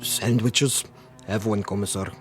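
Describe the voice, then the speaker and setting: A man's voice: fairly low pitch, steady tone, measured pace, natural voice. An actor playing a simple, dutiful Soviet marksman henchman in a radio drama, giving short, blunt replies. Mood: curious, blunt. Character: plain-minded Soviet marksman henchman, dutiful, fond of food. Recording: music behind the voice.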